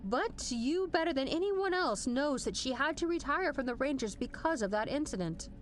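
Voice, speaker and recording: An actress playing a stern, exacting major general in an audio drama, firm and emphatic. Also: female voice, high-pitched, lively intonation, moderate pace, a little hiss or hum. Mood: concerned. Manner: firm, clipped orders, emphatic.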